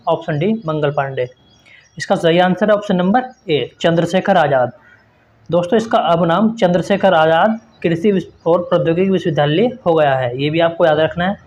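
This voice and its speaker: High-pitched man teaching a quiz lesson. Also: dull audio, slight background noise. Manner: instructive, repetitive emphasis. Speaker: exam-prep teacher. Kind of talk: quiz lesson, reading questions and explaining answers.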